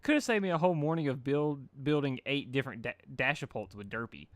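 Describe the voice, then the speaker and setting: A man voicing a brash, excitable young female cartoon pegasus, cocky and competitive. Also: mid-range voice, fast, dry recording, natural voice. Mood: brash, casual.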